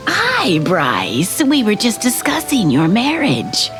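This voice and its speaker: A woman's voice: mid-range voice, huge pitch swings, takes it slowly. An actress playing a fretting middle-aged mother in an audio drama, coaxing and fussy, music behind her. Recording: loud music under the voice.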